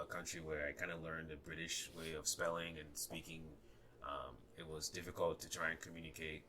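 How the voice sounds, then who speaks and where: A young man from Ghana, a native Ga speaker, with a very low, bright and forceful voice and a slight Ghanaian accent. He talks hesitantly in a casual podcast chat, full of fillers and restarts. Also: a little hiss or hum. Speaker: guest.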